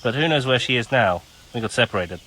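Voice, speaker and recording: A man's voice: mid-range voice, expressive, quick pace, bright, forceful tone, low-quality recording. A man playing an easygoing, work-shy village carpenter in a video game, casual and matter-of-fact. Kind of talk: video game cutscene dialogue.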